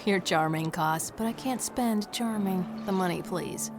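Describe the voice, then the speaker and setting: A woman playing a sly, mercenary pirate captain in a video game, wry and teasing, with a gravelly voice. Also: mid-range voice, a little slow, close to an everyday voice. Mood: sly, amused. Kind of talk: dramatic video game cutscene acting. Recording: music behind.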